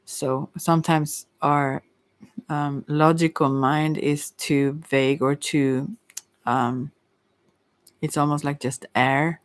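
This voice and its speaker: Medium-pitched voice, gentle ASMR advice, psychic reader, halting and rambling, consoling, dry recording. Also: little pitch movement, no room sound. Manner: halting, rambling, often self-correcting. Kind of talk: ASMR, unscripted spiritual advice.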